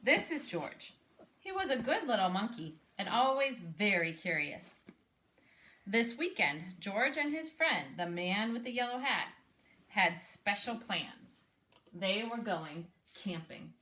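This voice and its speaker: A woman reading a story aloud expressively, pausing to explain and ask questions like a teacher. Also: medium pitch, very animated, sharp tone, reverberant. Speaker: speech therapist reading aloud to a child.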